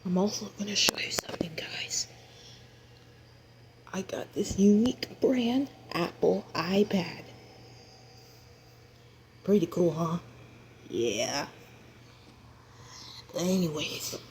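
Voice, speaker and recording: A woman's voice: on the low side, animated, slow pace, pauses often, darkish tone, slight background noise. A woman casually chatting to viewers in an app tutorial, talkative and often dropping into a whisper.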